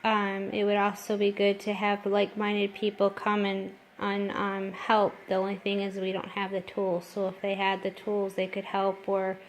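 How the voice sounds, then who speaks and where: A woman's voice: mid-range voice, completely flat delivery, normal speed, hardly any pauses, a little hiss or hum, poor audio. A middle-aged American woman from Detroit hosting an informal interview and making an appeal to listeners. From Detroit, United States. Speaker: host, interviewer.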